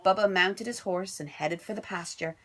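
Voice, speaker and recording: A woman's voice: medium pitch, normal speed, fairly bright tone. A woman reading a picture book aloud to her little son at home, playful and sing-song. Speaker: parent reading to a child.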